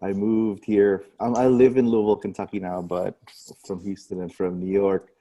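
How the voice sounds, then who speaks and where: A man's voice: fairly low pitch, flat delivery, normal speed, dark tone, lo-fi sound. A man in his thirties, a pediatrician, introducing himself in a joking, chatty way over a video call. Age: 30s.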